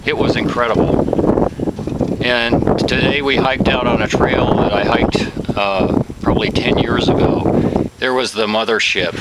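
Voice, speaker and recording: A man hosting a camping vlog, chatting with enthusiasm outdoors at a desert campfire. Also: medium pitch. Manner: enthusiastic, laughs easily. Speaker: vlog host and ham radio operator. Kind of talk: casual outdoor chat. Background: loud wind and outdoor noise.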